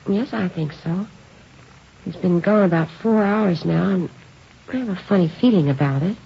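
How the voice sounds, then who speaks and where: Low-voiced worried mother, old radio. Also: normal speed, vocal fry, muffled recording. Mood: growing worry. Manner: hesitant, polite, restrained anxiety. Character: worried early-forties mother, outwardly calm, polite housewife. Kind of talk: 1950s radio drama acting. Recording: hissy, band-limited old radio recording.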